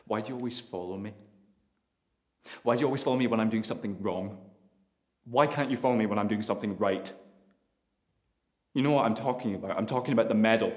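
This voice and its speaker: A man's voice: mid-range voice, close to an everyday voice. A man playing a bitter, self-loathing petty thief who swears through a raw confession. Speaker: actor in a scene. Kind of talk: dramatic monologue then comic dialogue. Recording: echoey room.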